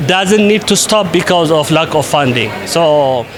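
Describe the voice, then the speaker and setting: A man from Ethiopia, an invited conference speaker, answering interview questions in a measured way with frequent pauses and fillers. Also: a little high, expressive, crowd noise. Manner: measured, with many hesitations and fillers.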